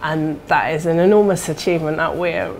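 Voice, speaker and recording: A British woman speaks about her work in an interview, her voice on the low side. She wears a lapel mic in a small indoor room, with some background noise audible. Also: fairly even intonation, brisk, a little mellow. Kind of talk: interview testimonial.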